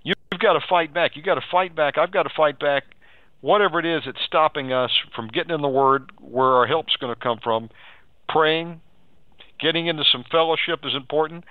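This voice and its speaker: A man's voice: a little high, normal speed, no echo. Exhorting male radio preacher on phone-like audio. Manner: exhorting, repeats phrases, asks leading questions. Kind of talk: live pastoral counselling on a radio show.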